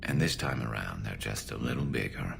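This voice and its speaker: A man playing a battle-hardened male alien soldier in a video game, wry and devoted, with a gravelly, flanged voice and dry humour. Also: very deep voice, lively intonation, a little fast, noisy background, full character voice. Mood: wry, tender. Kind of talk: cinematic video game voice acting. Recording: reverberant game audio under loud music.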